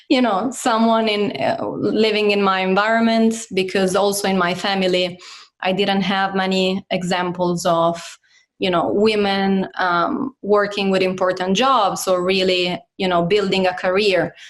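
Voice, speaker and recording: A woman's voice: mid-range voice, flat delivery, unhurried. A woman of origin who grew up in Italy, speaking as a company head in a casual interview, with many fillers and restarts. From Croatian, grew up in Italy. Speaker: guest, company CEO.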